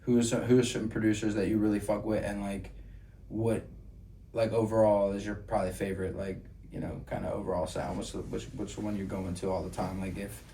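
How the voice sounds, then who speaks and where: A man's voice: fairly low pitch, little pitch movement, fairly quick, warm tone. A man hosting a podcast in casual, slangy, profane conversation, speaking emphatically. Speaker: podcast host. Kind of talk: casual chat about music. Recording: noisy room, distant mic.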